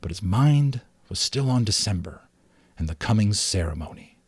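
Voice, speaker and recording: A man's voice: fairly low pitch, lively intonation, normal speed, a little mellow, breathy edge, dry recording. A man reading scripted storybook narration aloud.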